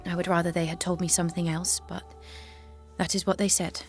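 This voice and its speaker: An actress playing a devout young warrior maiden in an audio drama, earnest, grave and restrained. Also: female voice, lowish voice, little pitch movement, brisk, soft background music, lightly acted. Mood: resigned, faithful. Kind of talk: solemn audio drama acting.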